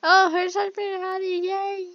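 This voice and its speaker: Excitable high-voiced woman streaming a game. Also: slow pace, lo-fi sound, a little hiss or hum. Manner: excitable, slangy, rambling. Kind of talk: casual live gameplay commentary. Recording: game music behind voice. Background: game music.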